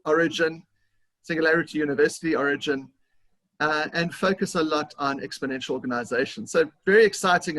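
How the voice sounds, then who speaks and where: A man's voice: fairly high pitch, fairly bright tone. A man from South Africa with a South African accent, a guest talking eagerly with many fillers on a video call. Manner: enthusiastic, talkative, with fillers and laughs. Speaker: guest consultant. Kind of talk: interview over video call.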